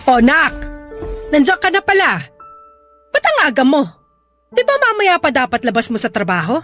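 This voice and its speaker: A woman's voice: high-pitched, wildly swooping pitch, normal speed, fairly bright tone, soft background music, exaggerated voice. A woman playing a hot-tempered, nagging middle-aged Filipino mother in a melodramatic audio drama, with a scolding, exclamatory delivery and a Filipino accent. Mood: teasing, nosy.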